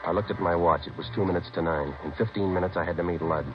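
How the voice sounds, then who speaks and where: A man playing a terse, clipped undercover narcotics detective in a vintage radio crime drama, deadpan and matter-of-fact. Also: low voice, fast, natural voice. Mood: flat narration. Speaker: lead actor and narrator. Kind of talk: 1950s radio crime drama acting and narration. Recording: muffled vintage radio recording, music behind.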